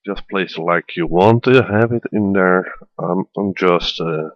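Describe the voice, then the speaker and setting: A man from the Netherlands with a Dutch accent, hesitantly narrating a software tutorial as a voiceover with many fillers. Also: deep voice, unhurried, no echo, muffled recording. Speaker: tutorial maker. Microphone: voiceover at a computer.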